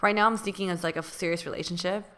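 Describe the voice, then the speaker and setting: A woman's voice: fairly low pitch, steady tone. A woman in her late twenties speaking candidly in a casual chat. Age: late 20s. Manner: candid, quick, trails off softly.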